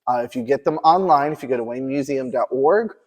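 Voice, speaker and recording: A man's voice: mid-range voice, brisk. This is a man with a mid-range voice hosting an informal interview. He talks in an enthusiastic, effusive way, as someone promoting a community event would. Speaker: interviewer, museum event host.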